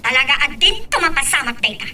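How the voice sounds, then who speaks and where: A woman's voice: sits high, big pitch swings, very fast, sharp tone, heavily acted. A woman playing an irate, bitter, money-grasping woman in an audio drama, with an Ilocano accent. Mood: angry. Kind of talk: amateur audio drama acting.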